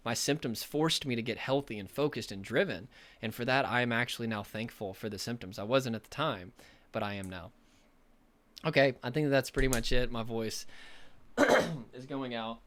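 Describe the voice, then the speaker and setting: A young man speaking as a recovery coach, hoarse and losing his voice from illness, talking in an earnest, rambling way with many fillers. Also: mid-range voice, normal speed, a bit bright. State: losing his voice, a bit sick. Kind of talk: casual direct-to-camera talk.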